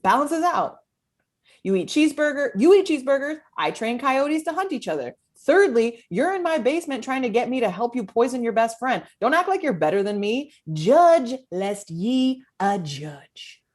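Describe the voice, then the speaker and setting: A woman's voice: fairly high pitch, sing-song, moderate pace, darkish tone. A woman playing a shady, brash poison seller in a comedy table read, cocky and wisecracking. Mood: defensive.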